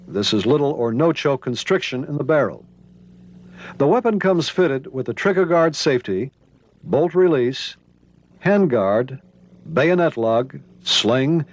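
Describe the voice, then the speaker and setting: A man with a slightly high voice narrates an instructional training film from a script. The 1990s soundtrack carries a light layer of noise behind him.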